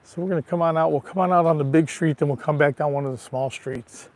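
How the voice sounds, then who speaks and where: Raspy man livestreaming a street walk. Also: fairly high pitch, fast talker, darkish tone. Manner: chatty, greets viewers by name. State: raspy from allergy. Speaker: livestream vlogger. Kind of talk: casual live walking tour. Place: outdoors on a town street. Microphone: handheld phone.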